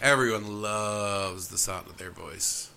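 A man chats as a podcast guest, sarcastic and crude. His voice is bright and forceful. Faint noise hangs in the room behind him. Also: fairly low pitch, slow, vocal fry. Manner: sarcastic, profane, joking. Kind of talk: casual podcast banter.

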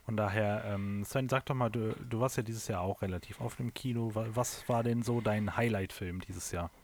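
A man's voice: on the low side, fairly even intonation, normal speed, slight background noise. A man hosting a casual podcast chat among friends, leading the talk with many hesitations and fillers. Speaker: podcast host.

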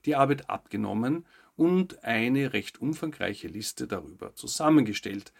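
A man's voice: lowish voice, vocal fry. A man hosting a news update, reading scripted narration with short commentary. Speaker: host of a weekly news update show.

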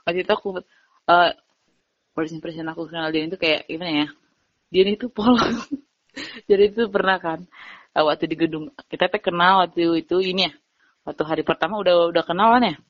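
A young woman chats as a podcast guest, telling stories in an animated, giggly way. Her pitch is ordinary. The sound is dry and a little dull, like a lo-fi home recording. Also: a little fast, pauses often, no room sound. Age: young adult. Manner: chatty, giggly, animated storytelling. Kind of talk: casual chat.

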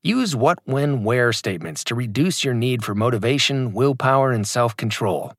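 A man reads his own fitness book aloud in a motivational, emphatic way. His pitch moves a lot across the lines. The recording is dry and close, with no room sound. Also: expressive, normal speed, no room sound. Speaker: author reading his own audiobook. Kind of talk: scripted audiobook narration.

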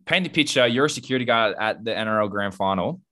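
A young man with an Australian accent and a low-pitched voice chats as a podcast co-host. He muses out loud in an informal, slangy way. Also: lively intonation, fast. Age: young adult. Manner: musing, slangy. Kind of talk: casual chat.

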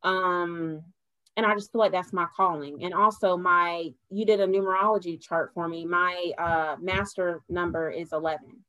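A young adult woman, a podcast guest, talking earnestly in a casual chat and turning animated when she laughs. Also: on the low side, moderate pace, dry recording, rough recording.

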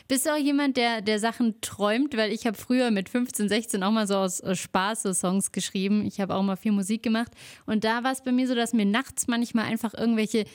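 A woman's voice: a little high, fairly even intonation, brisk. A woman interviewing a musician in casual German, friendly and laughing often.

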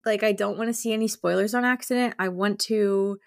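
A woman's voice: medium pitch, fairly even intonation, brisk, creaky. A woman co-hosting a casual book chat, talking informally and effusively with lots of "like". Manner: informal and effusive, heavy use of "like". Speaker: podcast co-host; school teacher.

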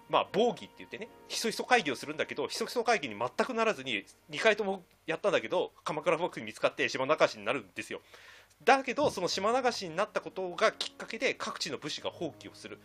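Guy explaining history super animated, talks fast, chatty panel vibe, bit of music behind. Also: high voice. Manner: animated explainer, acting out quotes in colloquial speech. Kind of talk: casual explanation of history on a discussion show.

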